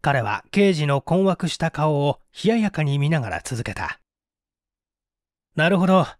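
Expressive male audiobook narrator, dry sound. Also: fairly high pitch, normal speed, no room sound. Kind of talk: dramatic scripted story narration.

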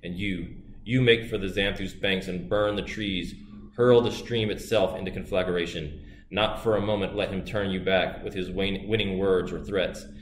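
A man's voice: sits low, fairly even intonation, moderate pace, lightly acted. A man voicing a fierce queen goddess who urgently orders her son into battle. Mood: commanding. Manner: urgent, commanding. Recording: roomy with faint hiss.

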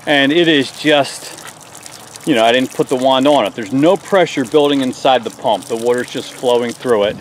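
A man's voice: medium pitch, slight background noise. Folksy man explaining an engine repair outdoors. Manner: plain, folksy, step-by-step explaining. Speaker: small engine repair host. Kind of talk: hands-on repair tutorial. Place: outdoors on a lawn.

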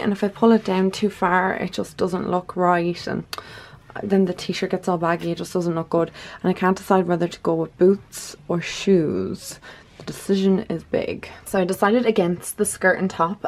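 A young woman in her twenties chatting casually to a handheld camera in her bedroom, rambling and opinionated. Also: fairly low pitch. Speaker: vlogger. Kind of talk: casual vlog chat. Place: bedroom at home. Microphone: handheld camera at arm's length.

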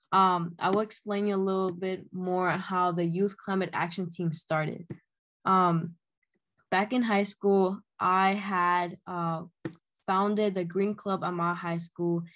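A young woman from Honduras, earnestly telling her story as an activist over a video call from her bedroom, with many "um"s and "like"s. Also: fairly low pitch. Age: young adult. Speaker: youth climate activist. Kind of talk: casual spoken account. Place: bedroom at home, indoors. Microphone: laptop webcam, video call.

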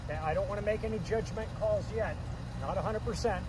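Hvac guy in his 30s walking you thru it outside, fairly high voice, keeps saying okay?, bit of street noise. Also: fast. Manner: explains step by step, keeps checking in with "okay?". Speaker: HVAC technician. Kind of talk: hands-on how-to explanation. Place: outdoors by an air conditioning unit in a yard. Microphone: camera mic, handheld or on a tripod.

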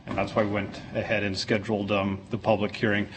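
A man's voice: on the low side, level tone, quick pace, slight background noise. A man giving an informal, plain-spoken staff presentation to a council, explaining details as a city planner. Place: council meeting room.

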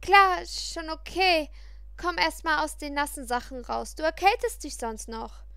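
A woman voicing a worried, excitable teenage girl roommate in an audiobook, gushing and alarmed. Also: high voice, a little slow, vocal fry, dry recording, full character voice. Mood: caring. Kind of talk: audiobook character voice.

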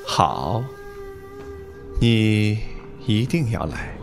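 Suave palace-master villain, male actor, very slow, amused menace, audio drama with light music behind. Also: on the low side, very animated, heavily acted. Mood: silky menace. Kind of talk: wuxia audio drama acting.

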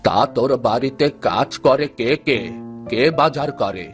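A man playing a kindly, mysterious old beggar in a fairy-tale cartoon, explaining gently and patiently. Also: medium pitch, unhurried, faint music behind, close to an everyday voice. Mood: curious, patient.

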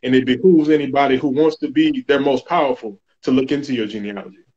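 A man in his forties explaining family history on a video call, steady and instructive, often checking in with "okay?". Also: mid-range voice, fast, lo-fi sound. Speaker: genealogy guest. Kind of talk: explaining in an interview. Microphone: webcam on video call.

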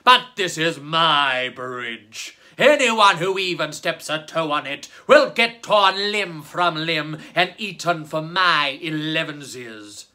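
A giant bridge troll growls out his threats in a loud, booming voice, as a storyteller puts him on. Every word is dragged slowly and heavily, with a bright, forceful edge. He sounds like the menacing troll of a storybook read aloud. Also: animated. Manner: booming, slow and drawn out. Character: giant grisly male troll guarding a bridge.

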